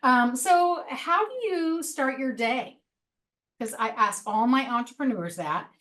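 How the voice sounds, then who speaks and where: A woman hosting a business interview over a video call from an office, encouraging and quick with affirmations. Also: high voice, fairly bright tone. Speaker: interviewer, show host.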